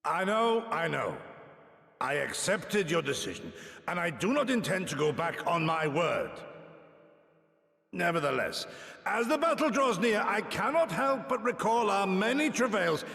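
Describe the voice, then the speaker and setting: An actor playing an aging, proud samurai in a game cutscene, solemn and humble, speaking in formal, archaic, halting oratory with a gravelly voice. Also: male voice, high-pitched, sing-song, a bit bright, exaggerated voice. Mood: earnest, solemn. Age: older. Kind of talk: dramatic game cutscene acting. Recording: echoey hall with long reverb.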